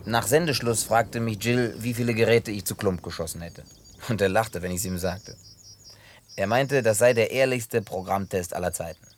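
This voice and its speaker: An actor dubbing a man who tells a long story in a laid-back way with gruff humor. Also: on the low side, normal speed, a little hiss or hum, natural voice. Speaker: lead character in a dubbed film. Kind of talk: dubbed film acting.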